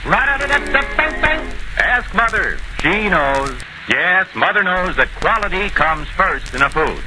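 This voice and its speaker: A man's voice: high voice, sing-song, a little slow, rough recording. A man announcing a 1940s radio commercial in a punchy, theatrical sales style. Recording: old radio recording, loud music behind.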